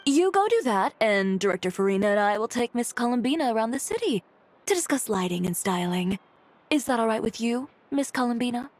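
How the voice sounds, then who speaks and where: A woman's voice: on the high side, expressive, fairly quick. An actress playing a polite, decisive woman organizing a film crew. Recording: game audio with faint music.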